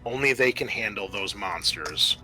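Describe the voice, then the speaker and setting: A man's voice: fairly low pitch, lively intonation, sharp tone, low-quality recording. A man reading a solemn, formal final report as a grim colony survivor in a sci-fi tabletop game. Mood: grave, resigned. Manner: solemn, formal, measured radio sign-off phrasing. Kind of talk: dramatic reading of scripted flavor text. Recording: faint music under the voice.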